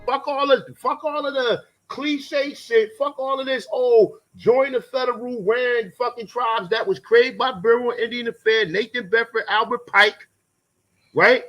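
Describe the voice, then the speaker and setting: A man's voice: very high-pitched, fairly even intonation, a little slow, dull audio. A man co-hosting a stream, ranting angrily and swearing heavily. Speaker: co-host. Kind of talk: heated profane commentary.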